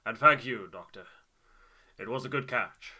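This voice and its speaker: A man's voice: medium pitch, normal speed, pushed and bright. A man voicing a hearty, stubborn, middle-aged navigator in a game, blustering and emphatic. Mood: resigned, grateful.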